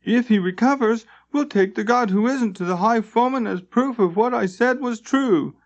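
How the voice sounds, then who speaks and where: A man voicing a small, excitable male dwarf who declaims earnestly in an audiobook fantasy tale. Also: very high voice, normal speed, pitched above the performer's own voice. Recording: dull lo-fi tape transfer.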